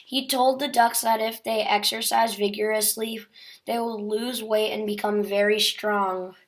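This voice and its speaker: A woman's voice: medium pitch, fairly even intonation, unhurried. A woman reading a children's picture book aloud as a storyteller.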